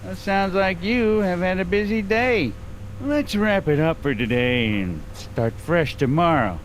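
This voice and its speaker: A folksy, animated sheriff. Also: male voice, high-pitched, unhurried, slight background noise. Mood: dismissive. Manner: folksy, condescending. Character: folksy, evasive, dismissive small-town male sheriff. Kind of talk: animated game voice acting.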